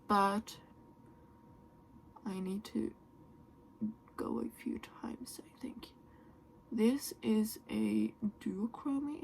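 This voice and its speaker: Slow, gravelly-voiced woman. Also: on the high side, slight background noise, poor audio. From Norway. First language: Norwegian. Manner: soft, often trailing into a whisper. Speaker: beauty vlogger. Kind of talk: makeup tutorial chat.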